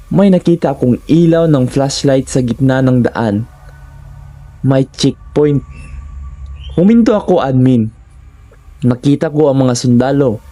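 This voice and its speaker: A man's voice: mid-range voice, mellow. A Filipino man narrating a first-person horror story in a dramatic, suspenseful storytelling manner.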